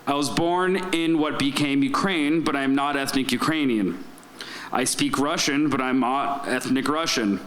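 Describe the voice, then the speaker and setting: A man's voice: mid-range voice, moderate pace, a bit bright, poor audio. A man performing a wry, self-deprecating spoken-word comedy monologue at a live open mic in a lounge, speaking into a stage microphone. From Soviet Union, raised in the United States.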